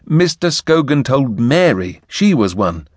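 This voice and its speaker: A man's voice: mid-range voice, very animated, measured pace, dry recording, clean studio sound. A male reader voicing an awkward, proud young man in an audiobook, stiff and slightly huffy. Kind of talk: audiobook character voice.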